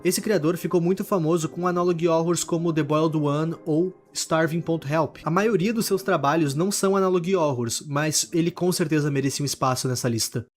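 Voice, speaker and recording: Brazilian guy doing scripted video narration, voice a bit on the high side. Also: fairly quick, few pauses.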